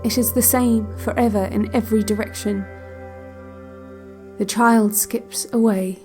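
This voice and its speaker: A woman playing a controlled, quietly ominous relaxation-cassette narrator, guiding a visualization in a measured, hushed, detached way over faint music. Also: medium pitch, fairly even intonation, close to an everyday voice. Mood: hypnotic, eerie. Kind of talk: slow guided visualization, scripted audio drama.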